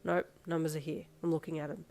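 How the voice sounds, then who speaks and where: A woman voicing a young boyfriend who talks in a dry, teasing deadpan. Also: low voice, quick pace.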